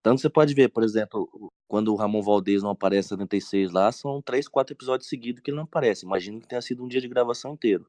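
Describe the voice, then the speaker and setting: A Brazilian man with a lowish voice, recorded close and dry. He chats informally, with plenty of slang, like a panelist among fellow fans. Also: rapid-fire, hardly any pauses, darkish tone, no echo. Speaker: panelist on a fan roundtable. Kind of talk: casual chat.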